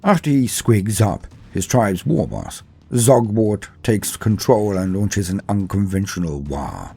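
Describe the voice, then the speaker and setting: Low mellow guy narrating game lore, steady pace, faint music behind. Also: vocal fry, breathy edge. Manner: measured, steady storytelling delivery. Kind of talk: scripted narration.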